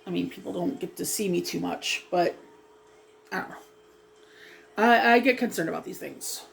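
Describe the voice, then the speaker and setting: A woman's voice: on the low side, moderate pace, creaky, a little hiss or hum. A woman vlogging casually about dyeing her hair, hesitant and rambling with many ums, sniffly and a little froggy from a lingering cough. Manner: hesitant, rambling, full of ums, self-apologizing. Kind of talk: casual chat while doing hair.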